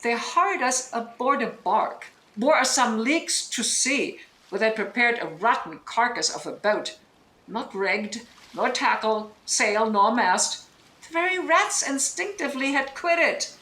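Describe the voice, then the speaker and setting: A woman's voice: on the high side, expressive, unhurried, pushed and bright. Proud, wronged exiled duchess acted by a woman, roomy sound. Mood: grave, tender. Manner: declamatory, intense, commanding. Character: wronged exiled duchess sorceress, proud mother telling her betrayal. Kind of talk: Shakespearean verse audio drama acting. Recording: roomy, faintly noisy home online recording.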